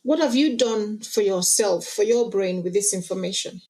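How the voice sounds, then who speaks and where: A woman's voice: mid-range voice, moderate pace, darkish tone. A woman acting as guest interviewer, asking curious, probing follow-up questions and giving short back-channel replies.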